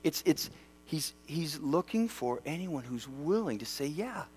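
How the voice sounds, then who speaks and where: Middle-aged male preacher from Western Canada, conversational and animated, with a slightly raised pitch, recorded in a big echoing hall. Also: unhurried, slightly rough. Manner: animated, conversational, self-deprecating humor. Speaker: pastor. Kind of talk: sermon. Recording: long hall reverb.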